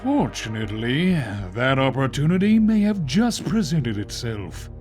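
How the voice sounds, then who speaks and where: An actor playing a serious, measured police chief in an audio drama, briefing officers in a grave, deliberate way. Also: male voice, mid-range voice, big pitch swings, darkish tone, faint music behind.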